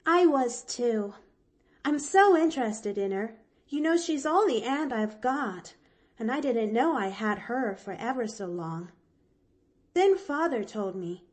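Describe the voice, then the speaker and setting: A woman's voice: a little high, expressive, measured pace, a little mellow, voice pushed up. A woman voicing a cheerful, eager little orphan girl who talks breathlessly and gushes. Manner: breathless, gushing, chattery. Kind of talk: audiobook character voice.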